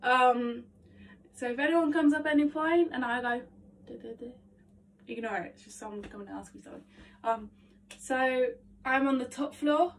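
A young woman with a nasal, stuffed-up voice talks herself through a warm-up in a chatty, rambling way. Her voice sits a little high. She is recorded on a phone set across a small attic bedroom, with faint room noise behind her. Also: moderate pace, recorded from a distance. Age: young adult. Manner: chatty, self-talking, lots of ums. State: congested, nasal from hay fever. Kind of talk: casual vocal warm-up vlog. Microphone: phone camera across the room.

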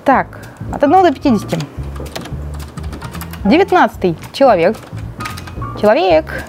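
A young woman co-hosts a casual live stream, chatting and teasing as she reads out giveaway winners. Her voice sits fairly high and sounds mellow and soft. Light music plays quietly in the background. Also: very animated, moderate pace, vocal fry. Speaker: stream co-host.

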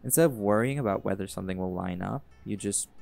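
A man's voice: fairly low pitch, fast talker, vocal fry, soft background music. A man voicing a chill flirty jaguar shifter. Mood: laid-back. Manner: easygoing, teasing, relaxed drawl with laughs. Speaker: voice actor in audio roleplay. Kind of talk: audio roleplay, speaking to listener.

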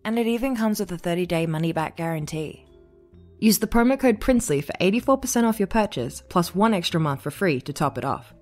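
Low-voiced woman reading a sponsor spot. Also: lively intonation, brisk, a little mellow, faint music behind. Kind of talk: scripted promotional narration.

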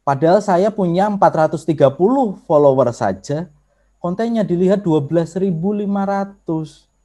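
A man with a Javanese accent gives an online workshop lecture over a video call. His voice sits a little high but has a mellow, dark tone. He explains step by step, turning often to address his listeners. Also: normal speed. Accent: Javanese Indonesian. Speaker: workshop instructor.

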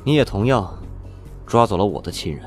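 A cornered young fighter answers back with wry defiance, played by a man. His pitch moves around a lot. Soft music runs under the lines. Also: lively intonation, brisk, lightly acted. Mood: defiant.

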